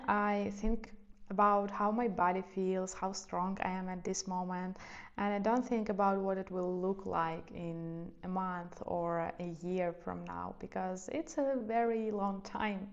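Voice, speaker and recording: Soft-spoken reflective woman vlogger. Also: mid-range voice, muffled recording. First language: not English. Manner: soft, reflective, hedging with "let's say" and "I don't know". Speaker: lifestyle vlogger. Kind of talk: casual advice talk to camera.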